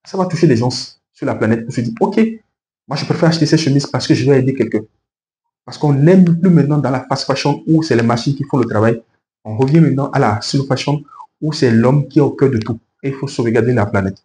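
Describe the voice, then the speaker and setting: A man's voice: mid-range voice, a little fast, dark tone. A man from Benin with a Beninese accent, a native speaker of a local language, giving earnest, explanatory interview answers and advice point by point. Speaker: interview guest, fashion professional. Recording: roomy echo with faint background noise.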